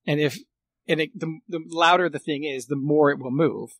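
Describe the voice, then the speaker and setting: Higher-voiced man explaining, dry sound. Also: a bit bright, no room sound. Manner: explanatory, halting, rephrases often. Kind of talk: casual technical explanation.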